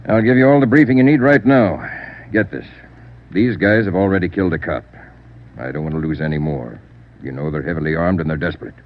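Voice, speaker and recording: A man playing a hard American police chief in a 1940s radio crime drama, giving clipped orders with gruff authority. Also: low-pitched, fairly quick, lightly acted. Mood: urgent. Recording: dull 1940s radio recording, music behind.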